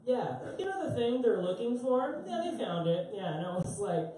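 A man's voice: extremely high pitch, very animated, moderate pace, mellow. A young man doing live stand-up, telling rambling, self-mocking stories and laughing at himself on a small club stage. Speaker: stand-up comedian. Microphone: handheld mic, heard through a far camera. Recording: roomy echo, distant live capture.

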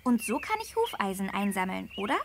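A woman voicing a curious, excited young girl on holiday in a children's game, eager and full of wonder. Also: mid-range voice, a little fast, gravelly, noisy background. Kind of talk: children's game dialogue acting.

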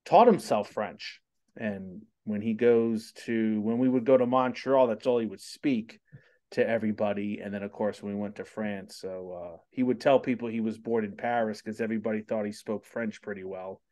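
A man's voice: lowish voice, steady tone, normal speed, darkish tone. Man, 40s, podcast host, upbeat chatter, home studio mic. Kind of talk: casual video-call interview. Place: small home studio room. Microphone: studio mic on boom arm.

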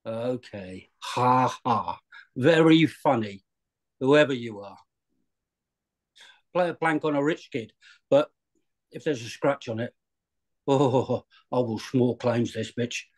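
A man's voice: mid-range voice, takes it slowly, long pauses. Guy reading a snooty rich kid, sneery and entitled, video call. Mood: sneering. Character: arrogant rich young man, thirties, rude.

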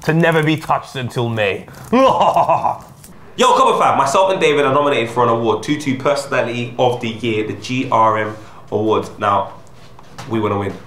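Young male co-host, relaxed opinionated football banter, studio sofa chat. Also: medium pitch, normal speed. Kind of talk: casual football chat show. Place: studio set with sofas.

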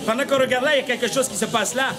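A man's voice: extremely high pitch, fairly even intonation, very fast. A middle-aged man with a Québécois French accent, playfully working the audience as a street comedian in a theatrical, projecting voice. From Quebec, Canada. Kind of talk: comic street show patter to an audience. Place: outdoor cobbled street among an audience. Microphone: distant camera in the crowd. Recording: outdoor street recording with loud crowd.

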